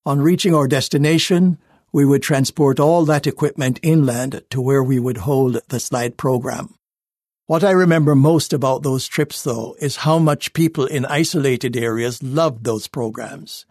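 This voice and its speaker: A man reading a first-person life story aloud in a measured, earnest scripted narration. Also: medium pitch, darkish tone, dry recording, studio quality. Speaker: reader of a magazine life story.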